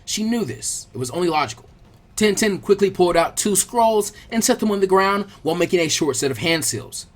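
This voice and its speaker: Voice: medium pitch, animated, brisk. A narrator reading an action story aloud as a dramatic, scripted audiobook. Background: background music.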